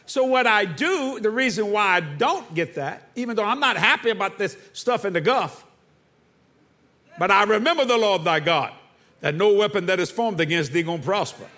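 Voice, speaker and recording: Bright high-voiced preacher man. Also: animated, normal speed. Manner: call-and-response preaching, rhetorical questions to the congregation. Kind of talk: sermon. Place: church.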